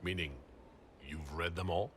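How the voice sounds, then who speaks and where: A man's voice: deep voice, fairly even intonation, a little slow, noisy background. An actor playing a wry, self-assured male hero in a video game, with a dry, teasing manner. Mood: teasing, curious. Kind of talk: video game cutscene acting.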